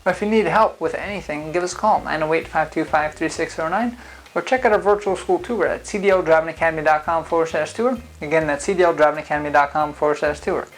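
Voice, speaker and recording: A man's voice: on the high side, quick pace, low-quality recording. A man who speaks English as a second language, explaining a driving test step by step in a casual, conversational way with frequent fillers. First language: not English. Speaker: driving school founder and instructor.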